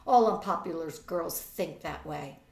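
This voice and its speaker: A woman's voice: sits low, measured pace, gravelly, darkish tone. A woman voicing an exasperated, catty eighteen-year-old society girl in a storybook reading, emphatic and scornful. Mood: catty, impatient. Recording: roomy, distant webcam microphone.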